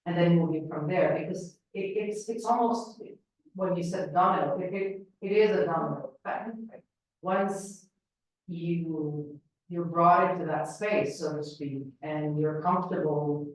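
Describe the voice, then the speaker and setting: A woman with a Palestinian accent answering as a panel speaker, thoughtful and measured, with pauses and fillers. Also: on the low side, slight background noise. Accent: Palestinian Arabic, Syrian-leaning. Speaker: panel speaker, political analyst. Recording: roomy hall, voice from far off.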